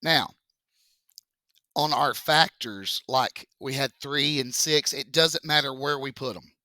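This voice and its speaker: Male teacher with a froggy voice; slow, jokey, bright and forceful tone. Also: mid-range voice, no room sound, studio quality. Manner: joking, chatty, slow step-by-step explaining. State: deep froggy voice from a cold. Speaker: math teacher. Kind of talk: casual classroom lesson video.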